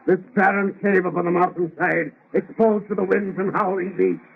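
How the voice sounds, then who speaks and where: A man's voice: sits high, fairly even intonation, moderate pace, vocal fry, slight background noise. A man playing a lonely, embittered, vengeful man-made monster in an old radio horror drama, speaking in menacing, archaic declamation. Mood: bitter. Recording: dull, lo-fi vintage radio recording.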